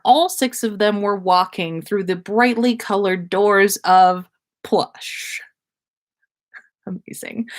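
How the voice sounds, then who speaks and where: A woman reads a story aloud at an unhurried pace. Her pitch swings in a lively way, and she leans on words for emphasis like an animated storyteller. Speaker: podcast host reading listener fan fiction aloud. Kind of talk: animated story reading on a livestream.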